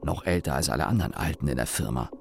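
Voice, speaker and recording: A man acting as a young, sardonic engineer telling his story in a radio play, wry and deliberate, with pauses and self-corrections. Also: a bass voice, expressive, gravelly, faint music behind, full character voice. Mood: dry, sardonic. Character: young engineer, sardonic company employee recalling his father. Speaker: narrator and lead actor. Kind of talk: German radio play monologue, reflective storytelling.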